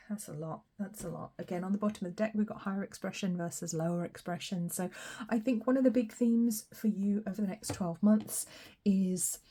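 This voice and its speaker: A woman with a hoarse, croaky voice after a cold, giving a chatty, encouraging tarot reading straight to the viewer. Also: mid-range voice, warm tone. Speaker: tarot reader. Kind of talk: casual pick-a-card tarot reading.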